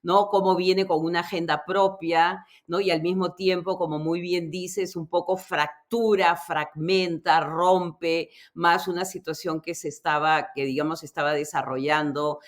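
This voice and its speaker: A woman hosting a history interview, speaking off the cuff in a measured, formal, academic way. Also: on the low side, flat delivery, dry recording, clean studio sound. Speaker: host. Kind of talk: history interview hosting, unscripted closing remarks.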